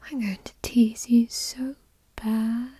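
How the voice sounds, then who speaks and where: A woman playing a tall, dominant, doting girlfriend in a whispered ASMR roleplay, teasing and coddling softly, close to the microphone. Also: on the high side, level tone, slow pace, heavily acted. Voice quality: soft, breathy whisper.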